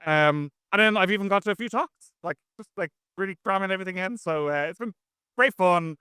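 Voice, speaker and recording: A man's voice: sits high, brisk, bright, forceful tone, clean tone, dry recording, clean studio sound. A man chatting casually as an interview guest, talking in a rambling, slangy way. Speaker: interview guest, software engineer.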